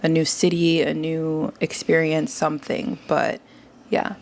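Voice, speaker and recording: Low gravelly young woman, chatty. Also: slight background noise. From New York area. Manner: animated, chatty, quick to agree. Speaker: guest. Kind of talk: casual conversation.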